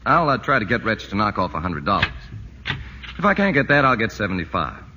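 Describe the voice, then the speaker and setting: An actor playing a placating architect who rattles off explanations, in a 1940s radio play. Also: male voice, on the low side, sing-song, fairly quick, noisy background. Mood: explaining. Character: fast-talking, placating male architect. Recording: dull old radio broadcast with reverb.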